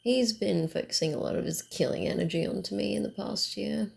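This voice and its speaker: A woman voicing a proud, playful vampire wanderer in an ASMR roleplay, halting and teasing, easily flustered. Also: medium pitch, gravelly, darkish tone. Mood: wry, reflective. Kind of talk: ASMR roleplay, acted one-sided dialogue.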